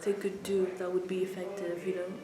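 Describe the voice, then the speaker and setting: A woman's voice: lowish voice, completely flat delivery, brisk, a crowd in the background. Informal young woman in an echoey, busy room. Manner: informal, thinking aloud. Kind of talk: casual chat in an interview. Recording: echoey room, people chattering behind.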